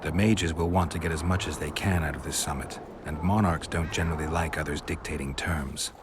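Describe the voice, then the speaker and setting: An actor playing a gruff, world-weary monster-hunting mercenary in a video game, speaking in a terse deadpan with a gravelly voice. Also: male voice, rumbling low, fairly quick, noisy background. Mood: dry, sceptical.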